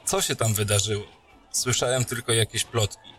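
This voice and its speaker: A man playing a teasing, bright-voiced captain. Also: medium pitch, normal speed, lightly acted. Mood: friendly. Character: jokey male captain, old friend. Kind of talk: fantasy audio drama acting.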